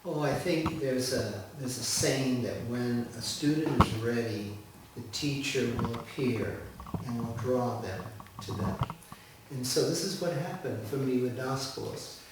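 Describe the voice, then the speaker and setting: A middle-aged man, born in the States, telling a personal story as an interview guest in a gentle, unhurried way. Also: medium pitch, background noise. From United States. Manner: gentle, unhurried, pauses with ums. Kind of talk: reflective storytelling interview answer. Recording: echoey room from far off.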